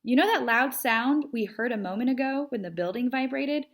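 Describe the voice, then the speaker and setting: A woman's voice: high voice, lively intonation, moderate pace, voice pushed up. A woman voicing a patient, sensible but exasperated male storybook cat who explains things carefully and hesitantly. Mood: incredulous. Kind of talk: children's storybook character read aloud.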